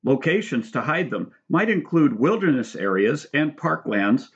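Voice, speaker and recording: Man narrating instructional audiobook, slightly dull recording. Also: mid-range voice. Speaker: author reading own book. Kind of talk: scripted audiobook narration with spoken asides.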